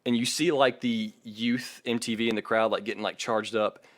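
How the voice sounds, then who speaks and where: A man's voice: on the low side, fairly even intonation, moderate pace, dry recording. A man co-hosting a podcast, narrating music history casually and informally with an amused streak. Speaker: podcast co-host.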